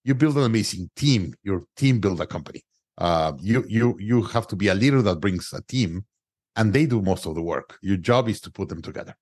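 Mexican guy, middle aged, kinda low voice, dry close mic, lots of uh and restarts. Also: expressive, fairly quick, darkish tone, slightly rough, no echo. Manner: non-native grammar, frequent restarts and "uh" fillers. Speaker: guest entrepreneur. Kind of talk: conversational interview storytelling.

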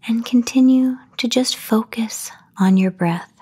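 A woman guiding a sleep meditation in a soft, breathy half-whisper, soothing and unhurried, with long pauses. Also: fairly high pitch. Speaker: meditation guide. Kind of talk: guided sleep meditation narration, soft-spoken. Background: voice only, no music or background noise.

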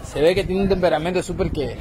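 A man's voice: high voice, fast talker. Man murmuring behind the camera. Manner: quiet, hushed asides behind the camera. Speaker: camera operator and companion. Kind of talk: casual commentary while filming. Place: city street outdoors. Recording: outdoor phone recording, clear street noise. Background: street traffic.

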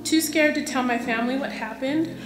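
A woman's voice: high voice, fairly quick. A woman reads prepared text aloud solemnly as a memorial volunteer. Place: church lectern, indoors. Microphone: lectern gooseneck microphone. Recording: echoey church hall, music behind.

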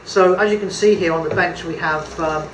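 A man's voice: fairly high pitch, fairly even intonation, normal speed, fairly bright tone, a little hiss or hum. Chatty British man in an echoey room. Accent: British English. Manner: chatty, hesitant with many fillers, good-humoured. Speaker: photography tutor. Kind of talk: casual demonstration tutorial. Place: indoor room at a table. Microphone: camera mic a few feet away. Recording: echoey room with faint background ambience.

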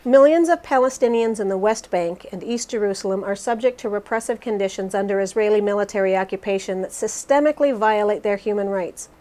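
A woman voicing a resolute, critical politician in a scripted interview re-enactment, formal and measured. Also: mid-range voice, dark tone, close to an everyday voice.

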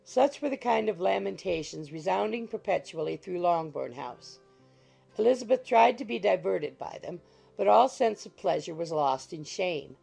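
A woman narrating an audiobook in a measured, even manner. Her voice is low, dark and gravelly with a husky edge, and soft music plays underneath. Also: low-quality recording.

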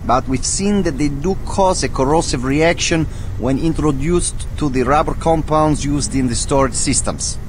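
An actor playing a formal male hazmat team member giving a measured report in a game audio log. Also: a little high, background noise, lightly acted.

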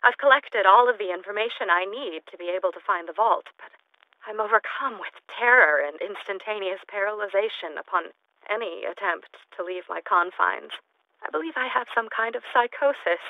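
A woman's voice: medium pitch, normal speed, no room sound, narrow, tinny audio. An actress playing an eccentric, unstable woman scientist in a video game, recording lonely logs in formal, wordy, clinically detached speech through a recorder-like radio filter. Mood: anxious, formal. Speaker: video game character actor. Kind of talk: in-character audio log monologue. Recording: thin, band-limited recorder sound.

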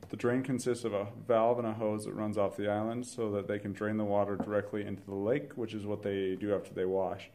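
Young guy walking through a diy build step by step, mellow low voice. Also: fairly quick, few pauses, slight background noise. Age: 20s. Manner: steady, instructional. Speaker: engineering student presenter. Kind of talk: project explanation and demonstration.

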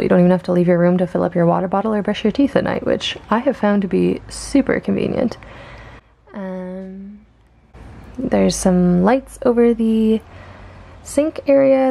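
Young woman giving a gravelly casual tour. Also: mid-range voice, mellow. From Canada. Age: young adult. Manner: chatty, upbeat, with filler ums and small laughs. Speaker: student vlogger. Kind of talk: casual walkthrough narration. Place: outdoors on campus, then indoors in a dorm room.

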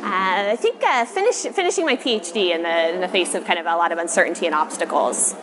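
A woman's voice: fairly low pitch, expressive, fast. A woman in her thirties with an American accent, giving brief, thoughtful answers in a casual interview. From Texas, United States. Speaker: interviewee, machine learning researcher. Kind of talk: casual rapid-question interview. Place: outdoor covered city walkway. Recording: outdoor city ambience noise.

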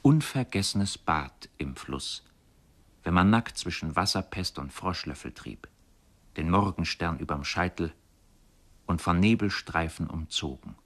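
Radio drama narrator: deep male voice, contemplative, measured literary recitation. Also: lively intonation. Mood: reminiscent. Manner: measured, lyrical, solemn. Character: contemplative male narrator recalling river boyhood.

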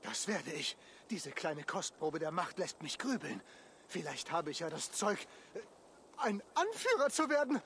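An actor playing a nervous, boastful, stuttering monk who poses as a pompous lord in a video game. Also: male voice, fairly high pitch, big pitch swings, unhurried, a bit bright, slight background noise. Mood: smug, excited. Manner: stutters when nervous, blustering. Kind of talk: dramatic video game dialogue.

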